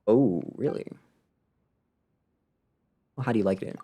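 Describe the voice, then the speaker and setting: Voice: medium pitch, a little slow, warm tone, breathy edge. A game streamer giving casual live commentary in a playful, dryly sarcastic way.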